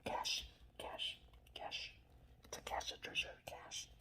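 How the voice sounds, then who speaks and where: A man's voice: takes it slowly, bright, forceful tone, reverberant, distant mic. A man hosting ASMR, whispering throughout as he reads fantasy lore and chats, playfully stuttering and repeating words. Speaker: ASMR channel host.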